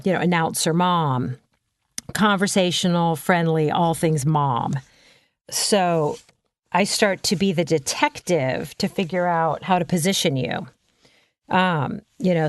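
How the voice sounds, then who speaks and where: A woman's voice: on the low side, dry recording, studio quality. A woman brand consultant coaching in a casual chat, talking freely with frequent ums and you-knows.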